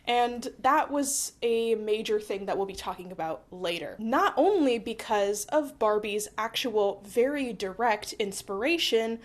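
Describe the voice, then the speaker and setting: A woman's voice: a little high, a little mellow. A young woman with a stuffed-up voice from a cold, explaining history in a rambling, self-deprecating way, full of wry asides, in her small apartment. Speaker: history video host.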